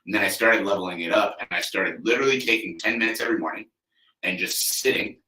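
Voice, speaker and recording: A man's voice: low-pitched, quick pace, pushed and bright. A man in his thirties, a coach hosting his own podcast, talking loosely and conversationally with lots of "you know" and "like" and the odd swear word. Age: 30s. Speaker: athlete coach, podcast host. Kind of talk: casual solo podcast monologue. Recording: echoey room, mic at a distance.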